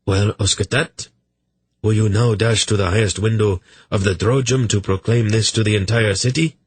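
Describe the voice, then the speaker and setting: A man's voice: on the low side, steady tone, moderate pace, breathy edge, dry recording, pitched above the performer's own voice. A man voicing an irritable king in his late thirties in a storybook, curt and dry. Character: irritable thirty-nine-year-old king, dry and commanding.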